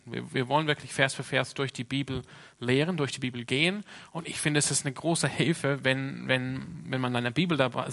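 A man's voice: medium pitch, creaky, a bit bright. A man from Australia with an Australian English accent, a native English speaker, preaching an informal sermon in a plain-spoken, earnest way. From Sydney, Australia.

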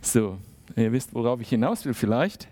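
A man giving a sermon in a relaxed, conversational way, with many fillers and little acted-out exchanges. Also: medium pitch, moderate pace. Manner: conversational, acts out small dialogues. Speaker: preacher.

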